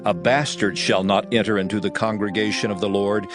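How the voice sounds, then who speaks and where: A man reading scripture aloud as a narrator, solemn and measured, in a dramatized style. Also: fairly low pitch, steady tone, over music. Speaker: Bible narrator.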